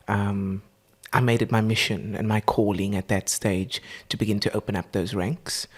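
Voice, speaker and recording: This South African man speaks in a somewhat low register, earnest and heartfelt. He talks like a guest telling his story on a podcast, and his phrasing leans warm and spiritual.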